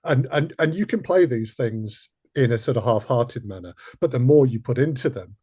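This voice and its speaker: A man hosts a conversational podcast interview. His voice sits at a middling pitch and has a slightly husky edge as he talks with his guests. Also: animated, moderate pace, dark tone.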